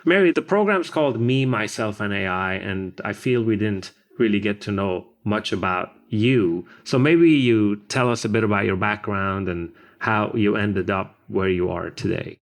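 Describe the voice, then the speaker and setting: A male podcast host asks his questions in a brisk, friendly way. His voice sits low and has a gravelly edge. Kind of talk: interview hosting.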